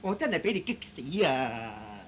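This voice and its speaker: A man's voice: medium pitch, sing-song, moderate pace, natural voice. A man voicing a bossy, impatient shopkeeper's wife who nags and scolds in a Cantonese comic radio play. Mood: scolding, impatient. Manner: scolding, exasperated, naggy. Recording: noisy old radio recording.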